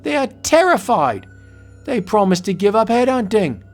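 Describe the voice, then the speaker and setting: A man playing a blustering, scornful colonial colonel, loud and dismissive, in a comic book audio drama. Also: high-pitched, sing-song, normal speed, soft background music, pitched above the performer's own voice. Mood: astonished.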